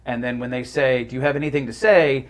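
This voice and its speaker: A man's voice: medium pitch, steady tone, quick pace. A middle-aged man, a voice actor, telling stories in a casual, articulate, emphatic conversational way. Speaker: voice actor guest.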